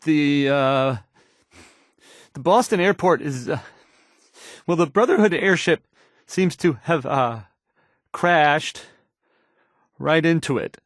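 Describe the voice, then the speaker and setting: A man's voice: a little high, lively intonation, no echo, studio quality. A man playing a timid, awkward young radio DJ in a video game, hesitant and apologetic as he stammers through the news in a shaky voice. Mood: flustered. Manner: stammering, halting, apologetic, trailing off. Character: timid, awkward young male radio DJ, anxious and unsure of himself.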